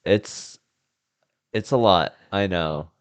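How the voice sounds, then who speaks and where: A man hosting a podcast, improvising comedy scenes in a deadpan way and laughing often. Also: sits low, slow, dry recording. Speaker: podcast host. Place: house.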